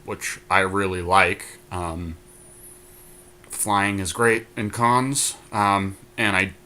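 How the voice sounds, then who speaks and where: Low-pitched man chatting casually. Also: steady tone, slow pace, sharp tone. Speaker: podcast co-host. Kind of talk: casual commentary while playing a card draft.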